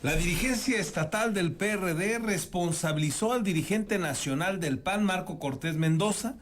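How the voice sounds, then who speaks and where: A man with a Mexican accent anchoring the news in a conversational, commenting way. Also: high-pitched. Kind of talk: news presenting with informal commentary.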